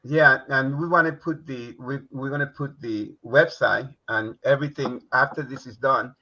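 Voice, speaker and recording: Male host, loose and chatty, slightly husky, dull stream sound. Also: mid-range voice, normal speed. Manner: relaxed, rambling with fillers, laughs easily. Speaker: talk show host. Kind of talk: casual live-stream chat.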